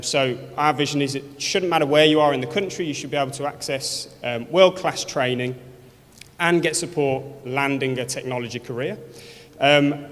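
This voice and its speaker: A man from Birmingham with a Birmingham accent, speaking earnestly at an awards event, with many fillers and restarts. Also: mid-range voice. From Birmingham, England. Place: large event hall. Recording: long hall reverb.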